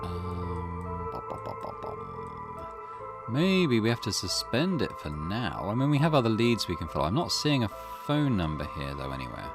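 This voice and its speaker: A man's voice: sits low, very animated, moderate pace. Man musing aloud over game music. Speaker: gaming host. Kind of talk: casual let's play commentary.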